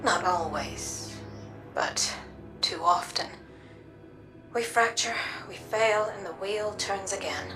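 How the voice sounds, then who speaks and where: An actress playing a weary woman who has traveled time, speaking in a soft, reflective way. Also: mid-range voice, slow, pauses often, over music, lightly acted. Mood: somber. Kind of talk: video game dialogue.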